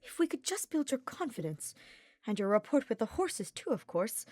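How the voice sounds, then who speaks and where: A woman's voice: a little high, very animated, moderate pace, darkish tone, no echo, studio quality, voice pushed up. A woman voicing an earnest, cheerful young nobleman knight in an audiobook, with florid and formal phrasing. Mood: encouraging. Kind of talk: fan fiction audiobook character voice.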